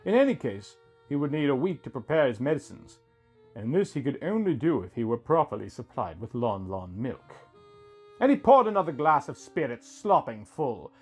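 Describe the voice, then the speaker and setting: Husky man narrating a story over music. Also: medium pitch, sing-song, normal speed, a little mellow. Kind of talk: scripted first-person story narration. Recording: music bed under the voice.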